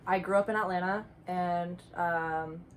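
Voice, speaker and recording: A woman's voice: on the low side, steady tone, a little slow, slight background noise. A woman from Argentina, Spanish as her first language, telling a short anecdote in a wry, matter-of-fact way. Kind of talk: personal account.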